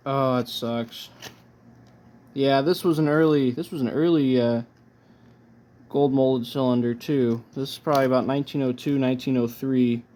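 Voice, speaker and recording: A man presents his collection in a casual unboxing style. His delivery is low-key and halting, as if working out his thoughts aloud. His voice sits at an average pitch for a man. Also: fairly even intonation, dark tone.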